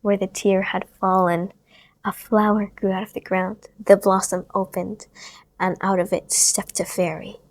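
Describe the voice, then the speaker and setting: A woman reading a children's storybook aloud in a gentle, expressive way that sometimes drops to a hush. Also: mid-range voice, a little slow. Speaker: storybook reader.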